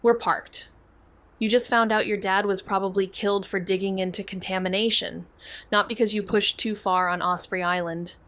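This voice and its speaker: A woman's voice: medium pitch, normal speed, natural voice. Actress as a loyal, level-headed young female investigator; frank, grounded delivery. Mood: steady. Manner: steady, protective, frank. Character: loyal young woman sleuth, calm, protective. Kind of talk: audio drama acting.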